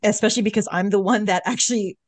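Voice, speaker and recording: Woman, mid-pitched voice, dry close mic, encouraging casual co-host, video call podcast. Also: steady tone, quick pace, no echo. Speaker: podcast co-host, orthopedic surgeon. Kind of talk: casual supportive chat. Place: home studio. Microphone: studio mic on a video call.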